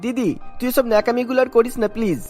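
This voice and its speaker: A woman's voice: fairly high pitch, racing, mellow, soft background music, natural voice. A woman voicing a sulky young boy, a whiny little brother in a cartoon. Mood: annoyed, sulky. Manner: whiny, complaining. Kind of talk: cartoon dialogue acting.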